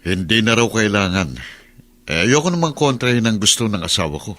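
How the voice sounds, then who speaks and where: A man's voice: fairly low pitch, expressive, a little fast, a bit bright. A man playing a cold, resentful, domineering master of the house in a Tagalog radio drama, curt and commanding. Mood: reserved.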